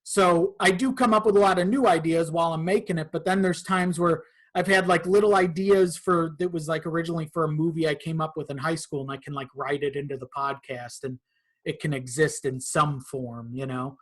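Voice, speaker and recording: A man's voice: a little high, steady tone, brisk. A man chatting casually and informally as a podcast guest about his own creative work, swearing now and then. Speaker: podcast guest, horror audio drama creator.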